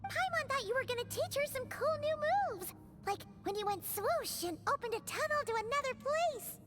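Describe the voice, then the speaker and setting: A woman voicing a small, excitable female floating companion who talks in a squeaky, childlike way and calls herself by name. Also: normal speed, loud music behind the voice. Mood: disappointed. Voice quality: squeaky, childlike, third-person self-talk. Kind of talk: video game voice acting.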